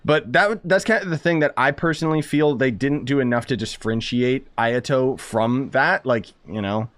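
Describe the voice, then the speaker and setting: A man's voice: mid-range voice, moderate pace, fairly bright tone, dry recording. Casual male game streamer, dry close mic. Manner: informal, rambling, thinking aloud. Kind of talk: casual live-stream commentary, chatting with viewers.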